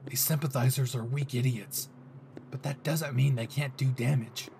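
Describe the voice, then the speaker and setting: Guy doing a gruff cocky young hero, possessive and sweary, audio roleplay, noisy background. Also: mid-range voice, steady tone, moderate pace, a little mellow, close to an everyday voice. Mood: bitter, intense. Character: brash, possessive young male hero, gruff and foul-mouthed. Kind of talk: dramatic audio roleplay acting addressed to the listener.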